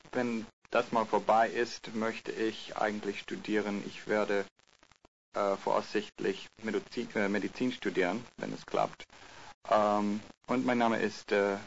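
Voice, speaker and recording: A man's voice: fairly low pitch, level tone, measured pace, gravelly. A man narrating a language course and acting out polite interviews, with many hesitation fillers, on a lo-fi recording. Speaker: course narrator and role-play speaker. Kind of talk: scripted language-course dialogue. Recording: lo-fi tape with faint hiss.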